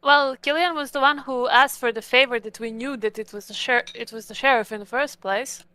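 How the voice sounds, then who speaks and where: A woman's voice: a little high, fairly even intonation, brisk, pushed and bright, smooth. A woman playing a tabletop roleplaying game, chatting casually in character.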